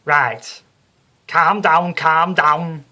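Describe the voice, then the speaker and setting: A man voicing a brash, bossy female stage psychic from a storybook, with a Liverpool accent and loud theatrical patter. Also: on the high side, steady tone, drawn-out words, pitched above the performer's own voice, full character voice. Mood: bossy, showy.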